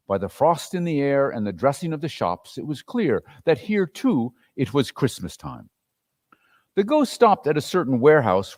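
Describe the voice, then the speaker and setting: A man narrating a story as a dramatic reading, in an expressive, theatrical manner. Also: on the high side. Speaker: narrator and performer.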